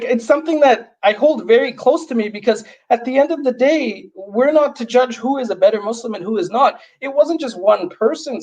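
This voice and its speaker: A man's voice: very high-pitched, darkish tone, husky, low-quality recording. A man from Canada, a guest on a livestream, speaking directly and emphatically. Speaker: guest, youth advocate. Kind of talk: livestream conversation.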